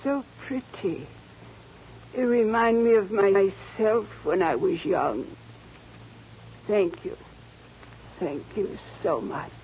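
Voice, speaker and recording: Elderly genteel lady played by a woman, ailing and sentimental, unhurried delivery, hissy vintage radio drama. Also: mid-range voice, natural voice. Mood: weak, grateful. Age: older. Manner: slow, effusive, genteel. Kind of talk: 1940s radio drama acting. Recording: muffled, hissy 1940s radio recording.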